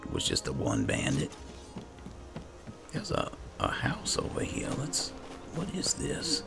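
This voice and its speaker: A man doing roleplay commentary over a video game, halting and musing to himself as he plays. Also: very deep voice, wildly swooping pitch, gravelly. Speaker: gamer narrating in character as he plays. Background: game music.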